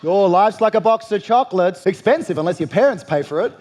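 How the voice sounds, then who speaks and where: A man's voice: high-pitched, expressive, a little fast, a little mellow. A young Australian man hosting a late-night talk show, delivering a sardonic satirical monologue with punchy jokes.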